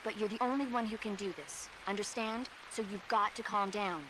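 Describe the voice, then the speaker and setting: A woman playing a calm, caring American military medic who gives reassuring instructions over the radio in a video game. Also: mid-range voice, brisk, natural voice. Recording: hissy radio-call audio.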